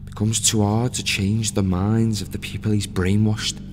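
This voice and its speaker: A man's voice: fairly low pitch, level tone, noisy background, lightly acted. A man playing a bitter dead ship's crewman. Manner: bitter, slow and brooding. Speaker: actor in an audio play monologue. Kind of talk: scripted dramatic monologue. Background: music.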